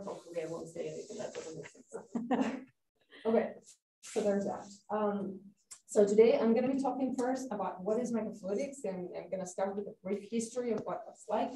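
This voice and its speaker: A woman's voice: mid-range voice, moderate pace, pauses often, warm tone. Spanish woman giving a science talk. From Spain. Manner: friendly, engages the audience with questions. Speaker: guest lecturer, postdoctoral researcher. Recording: roomy hall, distant mic, light noise.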